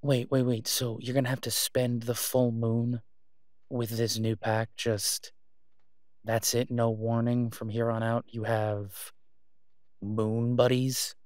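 Guy playing a worried loving boyfriend in an audio rp, earnest n halting, mid pitched male voice, no room sound. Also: little pitch movement, darkish tone, dry recording, clean studio sound, lightly acted. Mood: incredulous, uneasy. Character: worried, devoted human boyfriend of a werewolf. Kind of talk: intimate audio roleplay drama.

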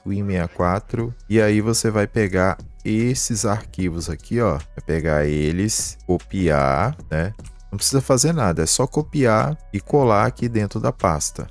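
Casting: Brazilian male gaming YouTuber, lowish pitch, colloquial hands-on tutorial delivery over music. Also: darkish tone. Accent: Brazilian Portuguese. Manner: colloquial, step-by-step pointing out with frequent verbal tags. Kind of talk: informal screen-share tutorial with live commentary.

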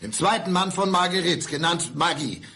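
A man's voice: high voice, expressive, moderate pace, creaky, sharp tone, slight background noise, heavily acted. An actor playing a bitter, brooding blind divorced man who needles his ex-wife in a radio crime drama. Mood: insistent. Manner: brooding, sardonic, insistent. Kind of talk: 1990s German radio crime drama acting.

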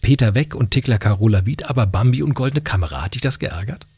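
A middle-aged male radio host interviews a guest in an informal, halting way. His voice is very husky and creaky, with animated pitch. It sits close on the mic in a dry studio. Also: no room sound. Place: small treated radio studio. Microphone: close broadcast boom mic, headset monitoring.

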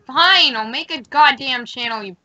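A young woman co-hosting a casual livestream, flustered and self-mocking as she struggles with the software. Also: sits high, normal speed. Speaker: co-host.

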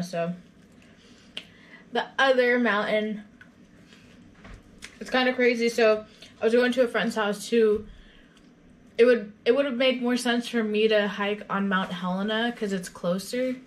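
A woman's voice: medium pitch, a little hiss or hum. A young woman chatting casually to her camera in her kitchen, rambling and retelling conversations. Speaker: vlogger. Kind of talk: casual vlog chat. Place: small home kitchen. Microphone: camera on a counter in front of her.

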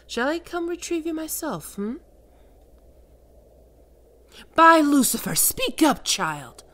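Vrouw speelt een zwoele, heerszuchtige demonenkoningin. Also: female voice, sits high, very animated, fairly bright tone, exaggerated voice. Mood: commanding. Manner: slow, sultry, domineering, often whispering. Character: seductive, imperious female demon queen of lust. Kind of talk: ASMR roleplay audio drama.

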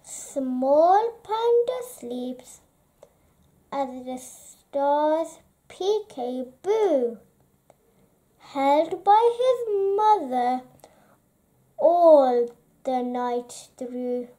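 Female bedtime-book reader, high and mellow, lulling delivery with pauses between phrases. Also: animated. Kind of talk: read-aloud bedtime story narration.

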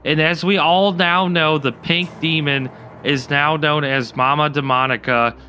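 A man's voice: a little high, steady tone, measured pace, sharp tone, slight background noise. A man hosting a gaming video, explaining lore and walkthrough steps directly to his viewers. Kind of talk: scripted game lore commentary. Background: faint background music.

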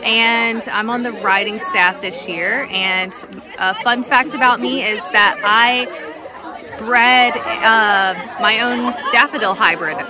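Young woman from south carolina, talks slow, people chatting around. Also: fairly high pitch, crowd noise. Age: young adult. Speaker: riding staff. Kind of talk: casual self-introduction. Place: outdoors on a grassy field.

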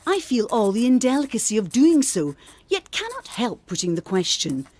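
An actress playing a refined, earnest older gentlewoman reading her formal, gently preaching letter in a radio drama. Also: on the high side, sing-song, moderate pace. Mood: probing, polite.

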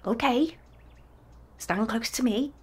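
An actress playing a devoted, proud young mother in a period audio drama, with a gentle, candid manner. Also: a little high, slow, breathy edge, exaggerated voice. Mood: soothing.

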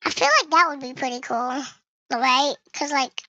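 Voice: high-pitched, normal speed, sharp tone, dry recording, lo-fi sound. A young gamer giving casual gameplay commentary, with the voice run through a voice changer. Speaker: gaming video creator.

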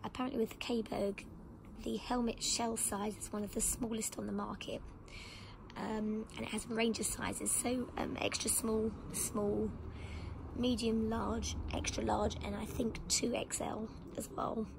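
A woman from the UK who has lost her voice, talking hoarsely and often in a whisper. She chats in a rambling, casual way with many ums. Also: a little high, little pitch movement, measured pace, noisy background. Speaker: motorbike vlogger. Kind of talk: casual product unboxing. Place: outdoor garden table.

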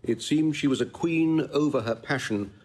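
An actor playing a tender, eloquent courtly gentleman in a classical audio drama, speaking with earnest lyricism. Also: medium pitch, moderate pace, natural voice. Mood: tender admiration. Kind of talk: classical stage-style audio drama acting.

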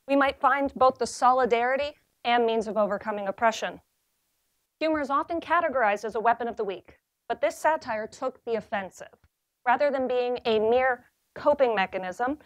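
A young woman gives a short graduate thesis talk to a seated audience, her voice fairly high and recorded dry and close. She speaks in a measured, earnest way, pausing for weight. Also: no room sound. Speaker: graduate student presenter. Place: library seminar room with audience.

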